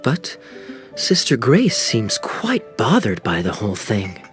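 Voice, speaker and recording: Wily young captain for a game: suave, teasing, animated pitch, faint music behind. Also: male voice, takes it slowly, exaggerated voice. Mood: feigned concern. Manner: smooth, teasing, theatrical. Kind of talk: video game voice acting.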